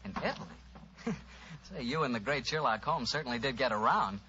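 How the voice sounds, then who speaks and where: Bright, animated American radio announcer man. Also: medium pitch, a little fast. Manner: folksy, enthusiastic salesman. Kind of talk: 1940s radio sponsor announcing. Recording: muffled old radio recording, faint noise.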